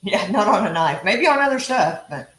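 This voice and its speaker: A woman's voice: mid-range voice, expressive, moderate pace, a bit bright, echoey room. Chatty Texan woman cooking at home. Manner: chatty, teasing, laughs often. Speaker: cooking show host. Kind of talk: casual live cooking chat. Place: home kitchen.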